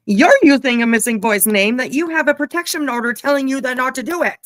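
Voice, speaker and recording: A woman's voice: high voice, fast, no room sound, clean studio sound. A woman giving casual, snarky commentary on a clip, mocking and swearing freely. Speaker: reaction channel commentator.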